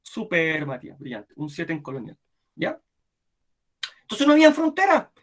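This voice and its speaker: A man's voice: fairly high pitch, moderate pace. A man with a Chilean accent lecturing a history class online, constantly checking in with his students as he talks. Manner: animated, keeps checking in with "¿cierto?" and "¿ya?". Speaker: history teacher.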